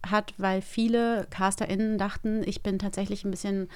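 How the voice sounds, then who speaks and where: A woman's voice: mid-range voice, little pitch movement, moderate pace, darkish tone, clear, resonant tone, dry recording. A woman speaking as a podcast guest in casual, colloquial and self-deprecating conversation.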